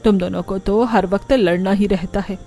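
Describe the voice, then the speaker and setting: A woman's voice: mid-range voice, fairly even intonation, normal speed, dark tone, slight background noise, natural voice. A woman voicing a fed-up, bossy mother-in-law who scolds her household in a cartoon story. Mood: scolding. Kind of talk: Hindi cartoon story dialogue. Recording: music under the voice.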